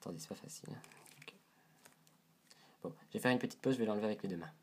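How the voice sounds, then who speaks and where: A man's voice: on the low side, very fast, noisy background. A man with a head cold and a stuffy nose, reviewing a doll to camera in a casual, hesitant way, describing details as he handles it.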